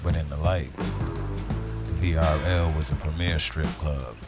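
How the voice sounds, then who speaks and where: A man playing a brooding, street-smart detective who narrates a noir audio drama in measured, terse, dramatic lines over music. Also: a bass voice, steady tone, full character voice. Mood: brooding, knowing.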